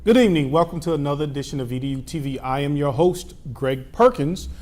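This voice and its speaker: A middle-aged man hosting a local TV interview, his voice pitched a little above the usual male range. He asks friendly, easygoing questions and leans on plenty of "uh" and "um" fillers. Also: fast, loud music behind the voice. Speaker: TV show host.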